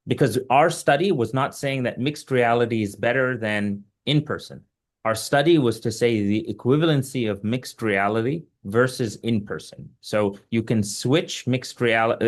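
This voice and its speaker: A man who grew up in Egypt, speaking as an invited surgical expert, giving earnest, emphatic explanatory answers in a webinar question session. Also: medium pitch.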